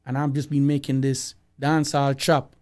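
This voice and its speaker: A man with a Jamaican accent, a songwriter and producer guest, talking casually in an interview, animated and slipping into patois. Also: mid-range voice, normal speed. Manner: animated, slips into patois, acts out quoted voices.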